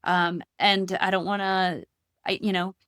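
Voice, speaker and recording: A woman's voice: mid-range voice, level tone, a little slow, fairly bright tone, clean tone, no room sound, studio quality. A woman in her thirties from Costa Rica, a guest on a podcast, telling her story reflectively with plenty of fillers. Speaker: podcast guest, healing coach. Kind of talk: casual interview talk.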